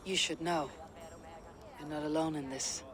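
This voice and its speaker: A man playing a loyal, grave Viking warrior in a video game, measured and earnest in speech. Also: a little high, fairly even intonation, over music, natural voice. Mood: troubled, earnest. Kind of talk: dramatic video game cutscene acting. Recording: loud sea and wind noise behind.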